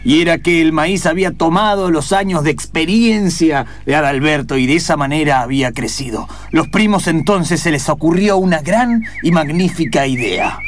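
A man's voice: on the high side, moderate pace, few pauses, slight background noise. A man narrating an improvised radio play in a dramatic, suspenseful storytelling manner.

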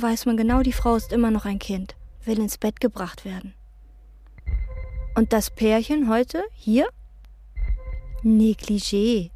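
An actress playing a withdrawn young hotel chambermaid who answers in flat, clipped phrases. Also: female voice, a little high. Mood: murmuring. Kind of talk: radio play acting with inner monologue.

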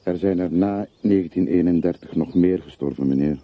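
An actor in a radio drama playing a poor, sober, deferential man with a measured, matter-of-fact way of speaking. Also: deep voice. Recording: muffled, narrow-band old radio play recording.

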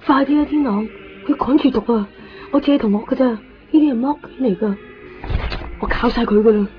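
A woman's voice: a little high, brisk, soft background music, lightly acted. A woman playing an intense, resentful teenage schoolgirl in a radio drama, insistent and eerie, with a Hong Kong Cantonese accent. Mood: insistent, agitated. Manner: insistent, brooding. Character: intense, cold, resentful teenage schoolgirl, eerily fixated on exams. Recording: muffled 1990s radio drama recording.

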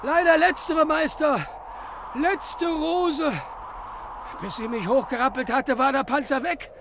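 A man's voice: very high voice, slow pace, heavily acted. A man playing a detective's eager, deferential sidekick in a radio parody, with clipped, mock-earnest phrasing. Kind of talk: old German radio detective parody acting. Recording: slightly noisy old radio recording.

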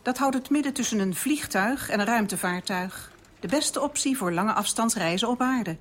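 A woman's voice: on the high side, animated, normal speed, close to an everyday voice. A woman playing a businesslike space control officer in a radio drama, formal and instructive.

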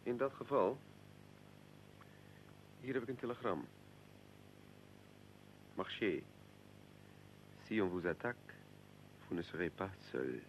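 An actor playing a suave, evasive Russian military attaché in a radio drama, unctuously polite and deliberate. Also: male voice, lowish voice, sing-song, a little hiss or hum, full character voice, low-quality recording. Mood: guarded.

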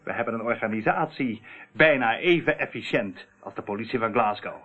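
A man's voice: medium pitch, a little fast, breathy edge, close to an everyday voice. An actor playing a smug, slippery criminal who feigns innocence in a radio play, theatrical in his delivery. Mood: smug, menacing. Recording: tinny, narrow-band old radio recording.

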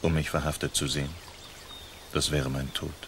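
Radio drama outlaw: extremely low, level delivery, hushed courtly seducer, bold and ardent, clear outdoor noise. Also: male voice, flat delivery, normal speed. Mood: ardent, seductive. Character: bold, charming masked outlaw, ardent and gallant suitor. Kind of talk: radio play acting.

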